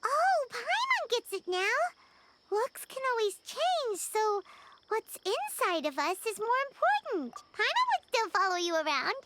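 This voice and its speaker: Squeaky, excitable little fairy companion. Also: female voice, measured pace, very noisy, full character voice. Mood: eager. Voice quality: squeaky, childlike. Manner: speaks of self in third person, inquisitive. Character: small excitable childlike fairy companion, female. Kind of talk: animated game dialogue.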